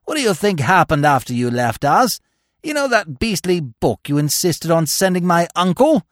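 A man voicing an excitable, aggrieved young upper-class English gentleman with an agitated, emphatic delivery. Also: a little high, moderate pace, no echo, clean studio sound.

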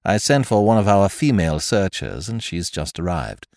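A man reading a brisk police inspector. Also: low voice, very animated, a little mellow, no room sound, studio quality. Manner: brisk, matter-of-fact. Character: gruff, impatient middle-aged police inspector.

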